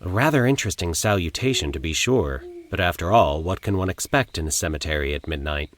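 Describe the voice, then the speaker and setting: A man reading a scripted first-person horror story in a formal, literary, measured manner. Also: deep voice, lively intonation. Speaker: narrator of horror fiction.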